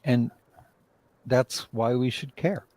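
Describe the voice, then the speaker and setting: A man's voice: mid-range voice, slow pace, a little mellow, no echo. A man talking casually as a playwright in a live discussion, rambling and often interrupting himself. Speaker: playwright and director, interview guest.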